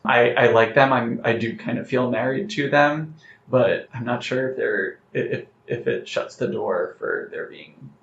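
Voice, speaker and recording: A man being interviewed as a playwright, talking in a reflective, carefully measured conversational way. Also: medium pitch, creaky, a bit bright. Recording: roomy, slightly muffled, noticeable background noise.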